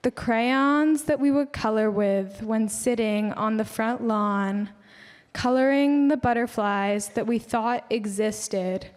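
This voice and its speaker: Young woman reading her poem slow, kinda high voice, big echoey hall. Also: darkish tone. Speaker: student poet. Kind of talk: poetry reading. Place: large hall at a school event. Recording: reverberant hall.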